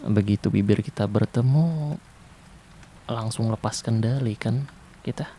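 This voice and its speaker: A young man doing a soft, intimate, teasing ASMR boyfriend roleplay. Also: on the low side, measured pace, slight background noise.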